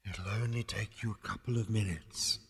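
A man voicing a courteous, wistful disembodied spirit with a quiet, deep, ethereal murmur, speaking slowly and formally, as in an audiobook. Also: wildly swooping pitch, creaky, slightly rough, long reverb tail, exaggerated voice. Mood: soothing, helpful. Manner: slow, politely formal, soothing.

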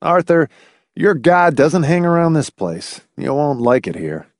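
Audiobook lead male reader: resentful, bewildered husband, indignant, animated intonation. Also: fairly high pitch, a little slow, dry recording, studio quality, pitched above the performer's own voice.

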